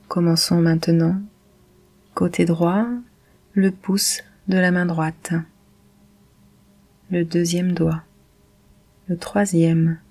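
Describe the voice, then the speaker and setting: A woman guiding a yoga nidra meditation in a calm, measured, soothing manner. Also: fairly low pitch. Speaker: meditation guide.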